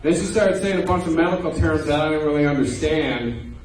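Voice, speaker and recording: A man's voice: on the high side, brisk, a little mellow. A man in his twenties performing a stand-up set in a loose, conversational way, with self-deprecating punchlines. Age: 20s. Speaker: stand-up comedian. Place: comedy club stage before a live audience. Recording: echoey live room, distant mic, audience noise.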